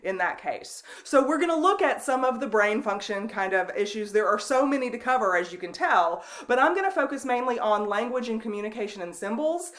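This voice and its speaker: A woman instructor giving a recorded online lecture, speaking loosely and conversationally with frequent ums and restarts and a loud voice. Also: fairly high pitch, expressive, fairly quick, few pauses. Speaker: college instructor.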